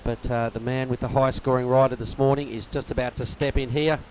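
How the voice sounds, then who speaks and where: A man's voice: medium pitch, little pitch movement, brisk, a little hiss or hum. A man leading live surf commentary, upbeat and chatty, calling the heat and steering the conversation. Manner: upbeat, leads the talk, says mate. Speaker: lead commentator.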